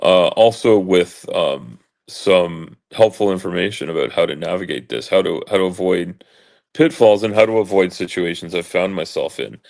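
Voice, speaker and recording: A man in his late forties with a deep booming voice, talking haltingly with many fillers while in pain and trying to keep his voice even. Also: creaky. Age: 40s. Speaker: vlogger. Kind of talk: casual monologue.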